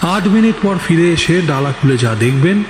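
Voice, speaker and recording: A showy stage magician, acted by a man in an audio drama, boastful and commanding. His fairly high male voice declaims theatrically and teases, with music underneath. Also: expressive, moderate pace, roomy sound, big-room sound, lightly acted, low-quality recording. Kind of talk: Bengali audio drama acting. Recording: background music.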